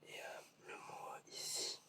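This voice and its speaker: Slow whispering ASMR eye examiner. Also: far from the mic. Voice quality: whispered, unvoiced. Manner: slow, patient, mock-puzzled questioning.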